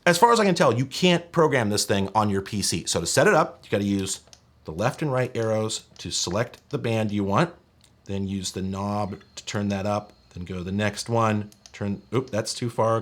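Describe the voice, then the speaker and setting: A man narrates a retro tech review in a lively, highly varied voice. His delivery is scripted yet conversational, with casual asides. He leans on key words emphatically and keeps a wry edge.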